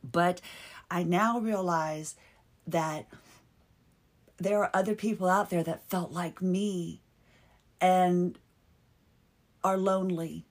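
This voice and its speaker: A woman hosting her own podcast, talking earnestly and haltingly, often restarting and repeating words. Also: fairly low pitch, steady tone. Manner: earnest and heartfelt, with bursts of laughter. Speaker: podcast host. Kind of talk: casual solo podcast monologue.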